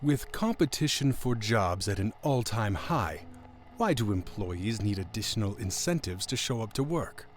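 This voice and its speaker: An actor playing a smooth, formal male radio news anchor in an audio drama. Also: on the low side, expressive, moderate pace, a little hiss or hum, natural voice. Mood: formal, brisk.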